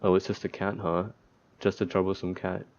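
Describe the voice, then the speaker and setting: A man doing casual gaming commentary as he reads a visual novel's text aloud, somewhat haltingly, with joking asides. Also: low-pitched, little pitch movement, darkish tone, muffled recording. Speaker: let's player reading a visual novel aloud.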